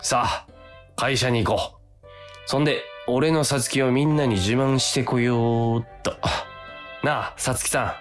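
A man's voice: mid-range voice, fairly even intonation, measured pace, lightly acted. Over background music, a man acts out a melodramatic young male office worker. He pities himself and talks through his inner thoughts in overwrought, theatrical style, as in a comic radio play. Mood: resolute. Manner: overwrought, dramatic self-talk. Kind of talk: comic radio drama acting with inner monologue.

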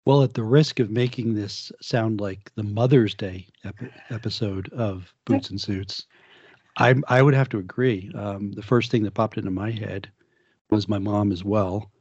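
A man hosting a casual podcast discussion, relaxed and conversational as he asks his guests questions. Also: normal speed, breathy edge, dry recording. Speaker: podcast host. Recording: dull, dry, low-fidelity podcast audio.

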